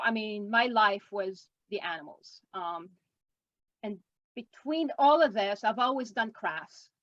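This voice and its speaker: A woman's voice: a little high, a bit bright, no echo. French Canadian woman on a dull video call. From Quebec, Canada. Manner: chatty, rambling, with frequent ums. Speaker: guest artist. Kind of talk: casual interview chat.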